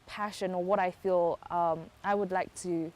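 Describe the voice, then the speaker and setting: A woman in her early twenties with a British-influenced Kenyan accent, giving polite, thoughtful, measured answers as an interview guest. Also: on the low side, steady tone, a little mellow. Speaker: interview guest, law student.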